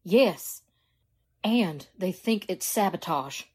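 A woman's voice: lowish voice, animated, takes it slowly, darkish tone, breathy edge, full character voice. An actress playing a worried, grave small-town wife and mother in a 1940s-style radio drama.

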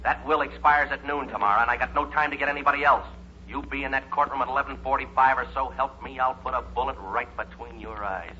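An actor playing a menacing, streetwise crook with false chumminess in a 1940s radio comedy. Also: male voice, mid-range voice, steady tone, fast, pushed and bright, lightly acted. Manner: streetwise, threatening. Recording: muffled, noisy 1940s radio recording.

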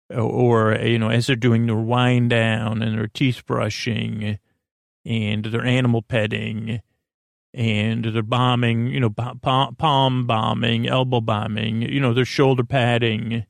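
A man hosting a bedtime podcast in a meandering, halting monologue, often drifting off his train of thought. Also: medium pitch, gravelly, no room sound, clean studio sound. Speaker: podcast host.

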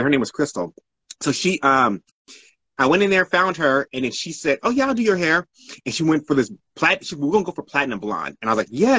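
Clear-voiced male guest, rambling and dry-sounding. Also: mid-range voice, a bit bright, no echo, rough recording. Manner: rambling, self-correcting. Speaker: interview guest, art model. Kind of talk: casual interview storytelling.

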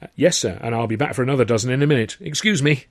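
A man's voice: mid-range voice, expressive, rapid-fire, no echo, clean studio sound. A man voicing a polite, eager young college servant with a deferential, hesitant manner.

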